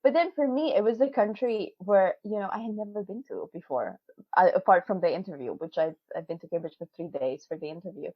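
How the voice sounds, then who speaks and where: A woman's voice: medium pitch, fairly quick, no room sound. A woman in her twenties whose first language is not English, talking casually in a video call interview, chatty and laughing often. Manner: chatty, laughs often, many fillers and restarts. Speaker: interviewee, PhD student. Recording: dull, dry video call audio.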